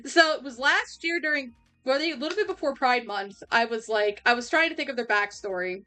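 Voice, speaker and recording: A young woman livestreamer chatting casually, laughing often and rambling with restarts, her voice going a little hoarse. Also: sits high, animated, brisk, sharp tone, soft background music. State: losing her voice. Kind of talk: casual chat.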